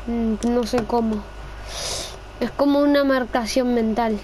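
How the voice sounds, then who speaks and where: High-pitched young Spanish gamer woman. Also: fairly even intonation, unhurried, warm tone, a little hiss or hum. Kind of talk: casual gameplay role-play chat.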